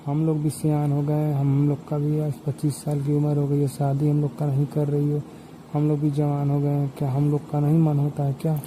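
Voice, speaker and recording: A man's voice: fairly high pitch, completely flat delivery, normal speed, warm tone, a little hiss or hum, natural voice, low-quality recording. A man playing an indignant 25-year-old son scolding his stepmother, insistent and repetitive in a conversational phone drama. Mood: reproachful.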